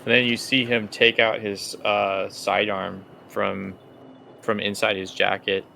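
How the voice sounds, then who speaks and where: A man with a low, gravelly voice is running a tabletop role-playing game. He narrates casually and haltingly, as if talking to friends. There is faint noise behind him. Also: level tone, pushed and bright. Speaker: game master.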